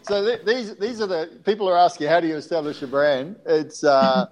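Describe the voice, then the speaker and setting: A man's voice: a little high, moderate pace, a loud crowd. A man hosting a casual interview, frank, swearing casually and laughing often.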